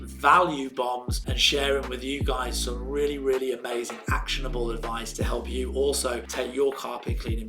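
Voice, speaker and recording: Upbeat salesy male host. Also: medium pitch. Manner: enthusiastic, salesy, repeats praise. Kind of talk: upbeat business interview.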